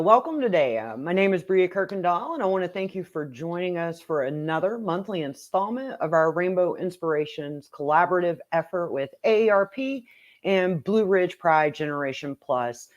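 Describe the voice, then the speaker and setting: A woman hosting an interview, enthusiastic and quick to laugh, often restarting phrases. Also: fairly low pitch, a little mellow. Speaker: host.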